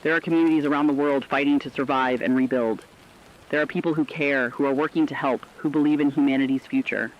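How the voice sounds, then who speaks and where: A man playing a solemn radio commentator who speaks to survivors in an earnest, reflective, deliberate scripted style. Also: medium pitch, fairly even intonation, highs cut off, close to an everyday voice. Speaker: radio co-host.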